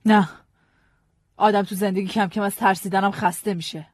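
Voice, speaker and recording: A man's voice: high-pitched, fairly even intonation, no room sound, voice pushed up. A man doing a determined grieving widow. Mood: pleading. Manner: soft-spoken, insistent.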